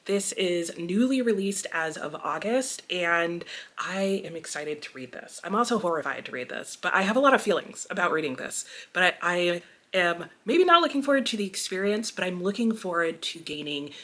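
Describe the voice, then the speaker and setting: Woman doing a casual book vlog, kinda low voice, friendly and chatty to viewers. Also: animated, moderate pace, a bit bright. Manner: friendly, conversational, addresses listeners directly. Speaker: host of a book channel and read-along. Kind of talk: casual vlog announcement to camera.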